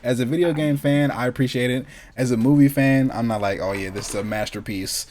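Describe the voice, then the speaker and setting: A man's voice: medium pitch, brisk, darkish tone, a little hiss or hum. A man co-hosting a casual chat, telling things in loose slang and repeating phrases for emphasis. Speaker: podcast co-host. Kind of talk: casual chat about video game movies.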